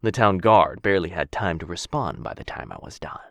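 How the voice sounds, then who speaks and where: A man's voice: low-pitched, lively intonation, a little fast, no echo, clean studio sound. A man voicing a possessive, doting dragon captor in a boyfriend ASMR fantasy roleplay, theatrical and coaxing, with hesitant musing. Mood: boastful, eager.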